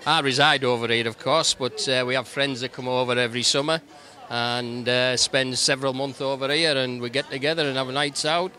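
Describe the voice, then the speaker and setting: A good-humoured man in his fifties with a Middlesbrough accent, chatting easily as a guest. His voice is bright and forward, with a relaxed, reminiscing flow. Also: medium pitch, fairly quick. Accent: Middlesbrough English. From England. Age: 50s. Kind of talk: casual interview chat. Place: racecourse pavilion.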